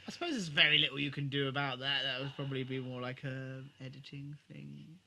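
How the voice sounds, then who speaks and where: A man in casual podcast chat about a TV episode, co-hosting with an animated, sweary, interjecting manner. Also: medium pitch, fairly quick, vocal fry. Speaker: podcast co-host.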